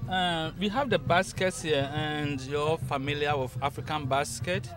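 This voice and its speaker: A man's voice: a little high, fairly even intonation, measured pace. Ghanaian man at an outdoor stall. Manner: earnest, plain-spoken, adds short "yeah". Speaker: nurse and nonprofit organizer. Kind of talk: festival interview. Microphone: handheld mic held by interviewer.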